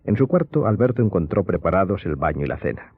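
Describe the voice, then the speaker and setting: A man narrating a radio novel in a measured, literary, dramatic storytelling style. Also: low voice, big pitch swings. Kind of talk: dramatic scripted audiobook narration. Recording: muffled audio under prominent music.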